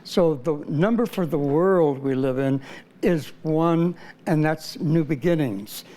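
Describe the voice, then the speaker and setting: A man's voice: a little high, takes it slowly, mellow. A man explaining numerology as a guest on a talk show, speaking hesitantly with frequent fillers. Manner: hesitant, many uh fillers and restarts.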